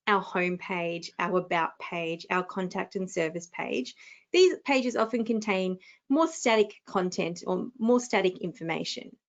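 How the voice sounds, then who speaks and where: A woman hosting a webinar, presenting writing tips encouragingly and then interviewing a guest. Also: medium pitch. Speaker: webinar host. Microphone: video call. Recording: dull lo-fi video call audio.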